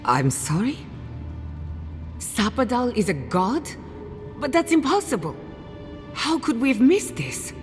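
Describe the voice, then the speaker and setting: A woman playing an inquisitive, wry scholar in a fantasy video game, speaking in an analytical, expressive way. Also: on the high side, slow pace, pauses often. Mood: astonished. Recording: reverberant hall, music underneath. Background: music.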